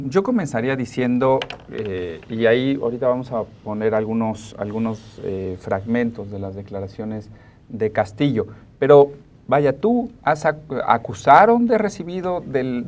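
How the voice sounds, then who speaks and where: A man's voice: medium pitch, a little mellow, slight background noise. A man in his forties with a Mexican accent, hosting an informal studio discussion in a colloquial, digressive way on a lapel mic. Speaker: talk show host.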